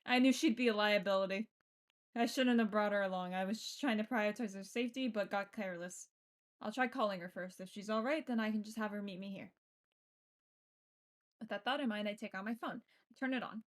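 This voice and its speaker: A woman streamer reading a visual novel aloud and adding casual, playful, expressive commentary. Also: mid-range voice, a little fast. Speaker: game streamer.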